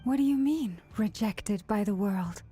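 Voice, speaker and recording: An actress playing a gentle, earnest young woman who leads a moon faith, with a measured, solemn delivery in a video game. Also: mid-range voice, expressive, slightly rough, soft background music. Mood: concerned.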